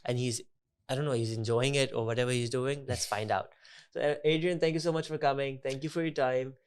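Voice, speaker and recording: A man's voice: mid-range voice, fairly even intonation, smooth. A man from Pakistan who hosts a startup podcast, introducing and questioning his guest in a friendly way with "uh" and "um" between phrases. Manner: friendly, fills pauses with "uh" and "um". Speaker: podcast host. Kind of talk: hosting an interview.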